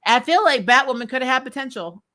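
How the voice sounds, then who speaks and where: A woman's voice: high voice, expressive, quick pace, sharp tone. A woman hosting a recap livestream in casual chat, blunt and opinionated, with an exasperated, giggly way of talking. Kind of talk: casual chat, reading and answering viewer comments.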